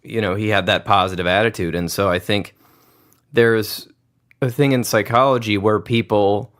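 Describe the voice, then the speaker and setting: A man's voice: lowish voice, steady tone, normal speed, fairly bright tone. A man hosting a podcast, musing aloud in a thoughtful, reflective way. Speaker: podcast host, grandson of the pianist. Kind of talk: conversational interview.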